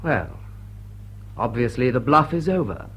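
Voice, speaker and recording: A formal aristocrat in a classic radio drama. He negotiates in measured phrases with lively intonation at a middle pitch. A faint hum sits under the recording. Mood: formal, controlled. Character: smooth, formal English lord negotiating for friends.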